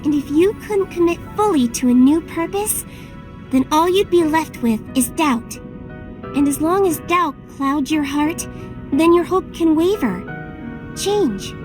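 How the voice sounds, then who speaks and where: A tiny game sidekick, caring and a little teasing. The voice is extremely high and earnest, with music clearly playing behind it. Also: female voice, lively intonation, unhurried. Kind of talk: scripted game cutscene acting.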